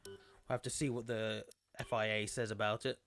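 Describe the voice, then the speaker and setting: Guy calling a sim race on stream, bright voice, kinda high, dry mic, losing his voice. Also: flat delivery, moderate pace, no echo. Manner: chatty, rambling with fillers. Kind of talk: live sim racing commentary. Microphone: desktop microphone.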